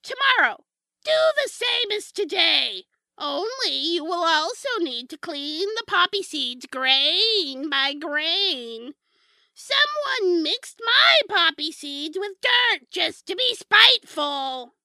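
A woman's voice: very high-pitched, very animated, bright, forceful tone, no echo, exaggerated voice. A woman playing a menacing, spiteful old witch in a fairy-tale audio drama, domineering and theatrical. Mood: grudging, irritated. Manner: theatrical, drawn-out, domineering delivery.

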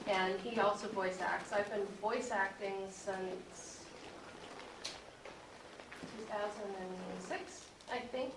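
A woman speaking informally as a panelist on a convention panel about her work as a producer and voice actor. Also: medium pitch. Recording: echoey room, distant mic, faint noise.

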